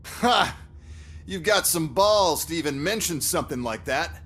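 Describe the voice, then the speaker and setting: An actor playing an arrogant, foul-mouthed authority figure in a video game, sarcastic and taunting. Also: male voice, on the high side, animated, unhurried, a bit bright. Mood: smug. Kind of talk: video game cutscene dialogue.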